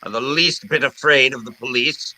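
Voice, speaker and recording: An actor playing a genteel, wily criminal mastermind in an old-time radio drama, with florid and courteous phrasing. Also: male voice, medium pitch, moderate pace, pushed and bright, rough recording. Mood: smoothly menacing. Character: genteel, wily older criminal mastermind, falsely jovial and polite.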